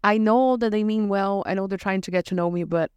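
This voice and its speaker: A woman from Brazil, co-hosting a casual chat about bartending, animated and quick to act out customers' lines. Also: mid-range voice, smooth, no echo, lo-fi sound. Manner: animated, acts out customers' questions with laughs. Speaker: podcast co-host, bartender.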